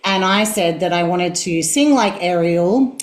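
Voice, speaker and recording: A woman in her forties, a singing teacher, talking as an interview guest in a reflective, self-deprecating way with a boomy mezzo voice. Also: a little slow, echoey room. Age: 40s. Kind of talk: conversational interview.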